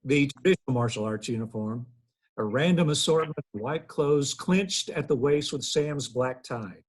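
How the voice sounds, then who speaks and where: Husky man reading stage directions. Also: medium pitch, lively intonation, moderate pace, rough recording. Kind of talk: scripted table-read narration.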